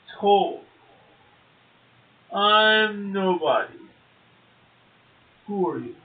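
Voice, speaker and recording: A man's voice: high-pitched, lively intonation, very slow. A man co-hosting a poetry show, making casual comments and reading short verse aloud. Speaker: poetry podcast co-host, poet. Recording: muffled, tinny phone-like audio.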